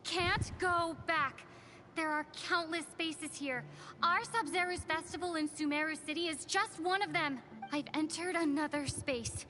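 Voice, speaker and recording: Young game heroine, high voice, earnest, thoughtful, measured delivery, music behind. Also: female voice, bright, forceful tone. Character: young female traveler, earnest, thoughtful adventurer reporting findings. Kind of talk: video game voice acting.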